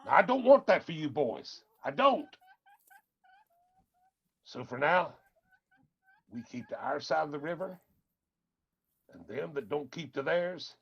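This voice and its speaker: A husky old Appalachian patriarch. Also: male voice, on the high side, very animated, a little slow, long pauses, lo-fi sound, full character voice. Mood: firm, sorrowful. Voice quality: husky, gravelly. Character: weary, stern old mountain father and patriarch. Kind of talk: radio drama acting.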